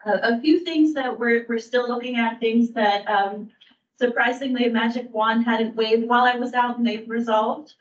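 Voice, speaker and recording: A woman who works for a county aging services bureau, giving a staff update at a public meeting in a measured way, with frequent ums and restarts. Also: on the high side, fairly even intonation. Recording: distant roomy mic, dull sound.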